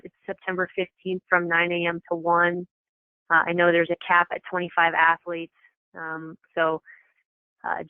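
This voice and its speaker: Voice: mid-range voice, clean tone. A program coordinator giving an informal, matter-of-fact, explanatory briefing to coaches over a telephone conference call. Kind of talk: informal meeting presentation. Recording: tinny, echoey telephone conference line.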